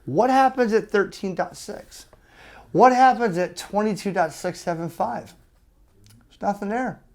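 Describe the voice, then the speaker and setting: A man's voice: sits high, unhurried, a little mellow. A man giving an opinionated, exasperated video rant to his viewers in a blunt, emphatic way. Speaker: ham radio YouTuber.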